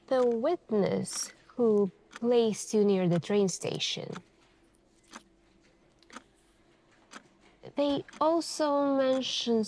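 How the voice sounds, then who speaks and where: A woman playing a calm, insistent police detective in an ASMR interrogation roleplay, speaking in a hushed, deliberate way with pauses between short phrases. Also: fairly high pitch, slight background noise, full character voice. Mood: quietly probing.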